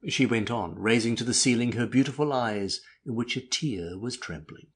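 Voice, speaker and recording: A man narrating classic literature as an audiobook, with a husky voice and measured storytelling manner. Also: medium pitch.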